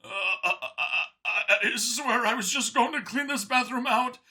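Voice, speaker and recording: A man's voice: extremely high pitch, moderate pace, pushed and bright, husky, pitched above the performer's own voice, heavily acted. A man voicing a meek, terrified alien janitor, a owlish beaver-like creature, stammering nervously in an audiobook story. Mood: panicked pleading.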